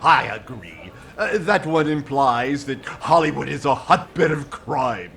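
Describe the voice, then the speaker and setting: A smarmy shop clerk acted by a man in a vintage radio comedy. He speaks slowly with mock-helpful sarcasm and swooping pitch, over a noisy recording. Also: sing-song, creaky, fairly bright tone, heavily acted. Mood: smugly sarcastic. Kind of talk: 1940s radio comedy acting.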